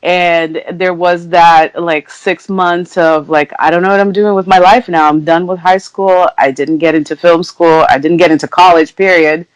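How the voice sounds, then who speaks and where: A woman in her thirties, originally from Brazil, talks as a podcast guest in a loose, chatty way with plenty of "um"s and self-deprecating asides. Her voice is smooth and resonant. The sound is close and dry. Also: fairly low pitch, steady tone, moderate pace, hardly any pauses, no room sound, poor audio. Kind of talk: casual conversational interview.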